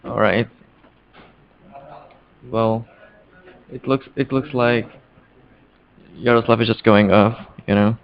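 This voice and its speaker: A man with a Czech accent casually commentating live on a card game, haltingly and often repeating his words. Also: lowish voice, steady tone, gravelly. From Czech Republic. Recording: dull, lo-fi stream audio.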